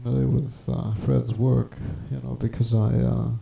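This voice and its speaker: A man rambling on a live stream, stammering and halting, with wordy, formal phrasing. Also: mid-range voice, flat delivery, creaky, warm tone, roomy sound. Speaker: prank call stream host.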